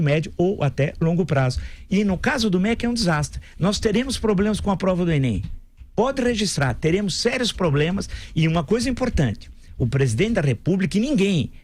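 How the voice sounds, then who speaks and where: A Brazilian man delivers pointed on-air political analysis in a slightly high voice. He talks emphatically, circling back to his points with insistent repetition. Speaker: political commentator. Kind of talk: opinionated on-air commentary.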